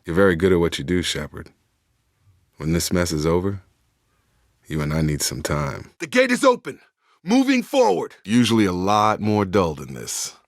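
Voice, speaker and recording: A man playing a steady, guarded sci-fi soldier in a video game, plain-spoken and tough with clipped military delivery. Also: deep voice, dry recording, clean studio sound. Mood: urgent, commanding.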